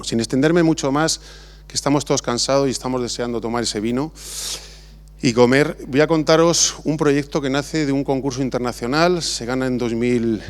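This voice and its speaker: A middle-aged man from Spain, an architect, talking informally at an awards ceremony with many restarts and fillers. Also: mid-range voice. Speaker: architect giving an award acceptance talk. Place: large exhibition hall.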